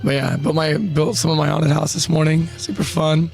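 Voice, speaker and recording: A man co-hosting a live podcast, chatting in an excitable, playful way, his voice a bit worn. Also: fairly high pitch, normal speed, gravelly, soft background music. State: worn voice after losing it the night before. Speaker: podcast co-host. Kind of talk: casual live podcast chat.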